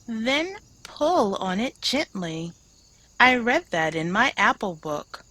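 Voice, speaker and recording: A woman reading aloud an eager, bookish little girl bunny in a children's storybook, earnest and excited. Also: a little high, slow pace, no room sound, full character voice. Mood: earnest, helpful.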